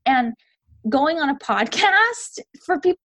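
A woman's voice: high voice, very animated, unhurried, a crowd in the background. A young adult woman, a podcast guest, talking earnestly and filling her speech with "like". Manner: earnest, uses "like" a lot. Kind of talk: casual podcast interview.

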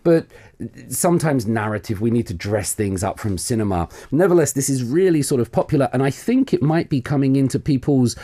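A man from the south of England speaks with a southern English accent and a mellow, dark tone. He is a guest in a radio studio and explains history in a relaxed, chatty way, often pausing on "um.". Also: medium pitch. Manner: relaxed, filler-heavy explaining with frequent ums. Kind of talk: casual radio chat.

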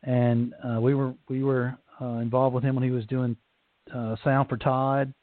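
A man's voice: medium pitch, flat delivery, normal speed, no room sound. A man from Alabama with a Southern accent, hosting a radio show in a folksy, joking way. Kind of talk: casual radio chat. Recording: tinny phone line.

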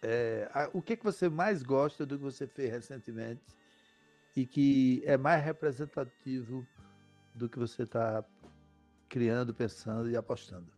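A man's voice: medium pitch, measured pace, darkish tone, faint music behind. Chatty older man hosting a podcast. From Paraíba, Brazil. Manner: chatty, rambling with restarts. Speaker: podcast host. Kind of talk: casual interview chat.

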